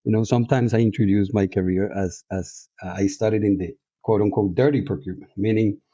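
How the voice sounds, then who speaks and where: Colombian-accented guest, a man, lowish and very warm, reflective and chatty, dry close audio. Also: fairly even intonation, a little fast, slightly rough, no echo. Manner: reflective, frequent restarts and fillers, laughs easily. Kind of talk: casual interview chat. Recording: dull, muffled audio.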